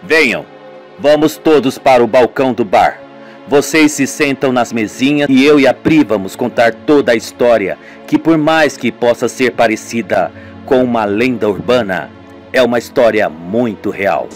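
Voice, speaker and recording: A man voicing a stern middle-aged bar owner in a radio soap, deep and reproving. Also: moderate pace, soft background music, close to an everyday voice. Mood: grave. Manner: reproving, grave.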